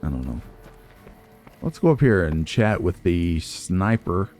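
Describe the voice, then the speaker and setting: Guy with a lowish voice doing let's play commentary, musing to himself, bit of music behind. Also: big pitch swings, a little slow, darkish tone. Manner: musing, nitpicking, talks to himself. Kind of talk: casual gameplay commentary.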